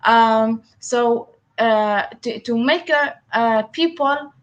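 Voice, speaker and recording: A woman whose first language is Arabic answers interview questions in English, halting often with fillers and restarts. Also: on the high side, muffled recording. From Palestine. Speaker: guest.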